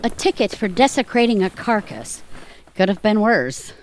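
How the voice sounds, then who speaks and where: An actress playing a wry, affectionate, long-suffering middle-aged wife who teases, in an audio theatre comedy. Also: female voice, mid-range voice, sing-song, moderate pace, slight background noise, natural voice, low-quality recording. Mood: weary, sympathetic.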